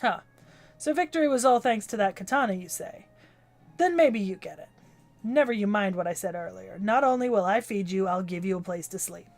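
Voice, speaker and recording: A gruff, proud old swordsmith, put on by a woman reading a game aloud, with a growling voice in her ordinary pitch range. He speaks curtly and commands attention. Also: animated, a little fast, a little mellow, faint music behind, lightly acted. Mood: warming. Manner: curt, commanding delivery. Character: gruff older male swordsmith, blunt and proud. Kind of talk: reading a game's story aloud on stream.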